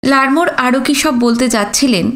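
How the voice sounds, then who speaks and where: High-pitched woman narrating a story. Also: fairly quick, a bit bright. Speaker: audiobook narrator. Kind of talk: scripted literary story narration.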